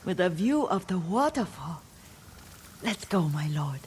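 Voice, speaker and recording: An actress playing a gentle, wistful elderly woman, a lord's devoted caretaker, in a video game, with a soft, breathy, reflective delivery. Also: on the low side, big pitch swings, a little hiss or hum. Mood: gentle, weary. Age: older. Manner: slow, tender, reflective. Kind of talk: dramatic video game acting.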